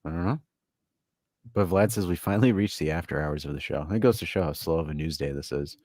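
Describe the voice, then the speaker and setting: A man co-hosting a casual sports podcast, joking around and laughing often, getting loud when joking. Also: extremely low pitch, fast, no echo, studio quality. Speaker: podcast co-host.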